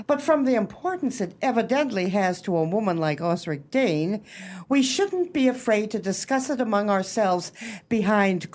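Woman acting a haughty, animated society hostess. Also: medium pitch. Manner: pompous, precise, formal diction. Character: haughty society hostess, bluffing book club leader. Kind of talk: radio play acting.

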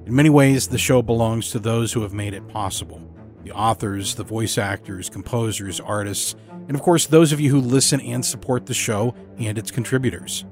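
Guy hosting a podcast, scripted read, normal pitch, bit of music behind him. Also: moderate pace. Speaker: podcast host.

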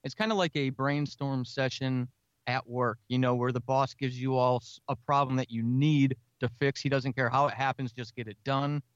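A man talking as an interview guest, reflecting earnestly and self-critically on his craft in halting, explanatory phrases. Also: medium pitch, little pitch movement, clear, resonant tone, no echo, muffled recording. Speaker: guest writer and podcaster.

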